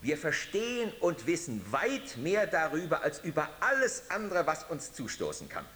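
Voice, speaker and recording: In a long hall reverb, a man speaks as an assured orator in a radio drama. His fairly high voice moves animatedly through emphatic, repeated declarations. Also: huge pitch swings, normal speed, sharp tone, full character voice. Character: assured male orator calmly declaring radiation natural. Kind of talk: public speech in radio drama.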